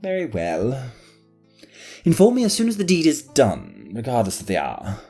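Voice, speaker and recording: A man's voice: mid-range voice, wildly swooping pitch, moderate pace, mellow, breathy edge, soft background music, full character voice. A man playing a haughty nobleman who talks in a clipped, sardonic, imperious way in an audio drama. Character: haughty male nobleman hiring a ghost hunter.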